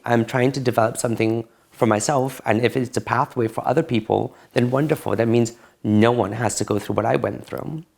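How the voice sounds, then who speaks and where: A man's voice: mid-range voice, creaky, darkish tone. A man, telling his personal story in an interview, candid and reflective. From Barbados. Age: late 30s.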